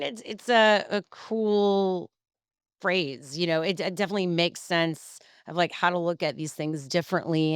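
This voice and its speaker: A woman's voice: low-pitched, smooth, no echo, clean studio sound. A woman hosting a casual interview, chatty and tripping over the starts of her sentences. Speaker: interview host.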